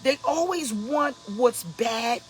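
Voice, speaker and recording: A woman's voice: on the high side, expressive, slow, soft background music. A woman in her fifties hosting a casual motivational talk, emphatic and preachy, repeating her key points. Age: 50s. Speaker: host, pastor.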